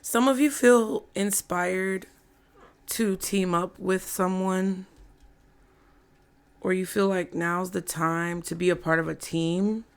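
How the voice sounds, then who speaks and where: A hoarse woman giving a casual, chatty tarot reading to her viewers. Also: fairly low pitch, fairly even intonation. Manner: slow, chatty, laughs often. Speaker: tarot reader.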